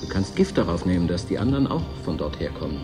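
An actor playing a moody, suspicious station worker in a radio drama, brooding, probing and intense. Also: male voice, low voice, very animated, quick pace, over music. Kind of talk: German radio drama acting.

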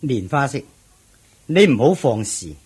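An actor playing a stern, chaste monk in a Cantonese radio drama, firm and indignant, with a Hong Kong accent. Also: male voice, mid-range voice, big pitch swings, unhurried, a little mellow, breathy edge, heavily acted.

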